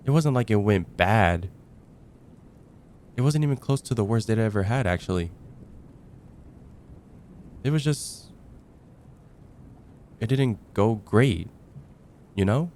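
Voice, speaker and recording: Man acting as mellow, low-voiced young heartbreaker friend. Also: animated, a little hiss or hum. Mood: let down. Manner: conversational, hesitant, with sighs and trailing pauses. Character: casual young male heartbreaker friend confiding about dating. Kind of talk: ASMR roleplay, acted one-sided conversation.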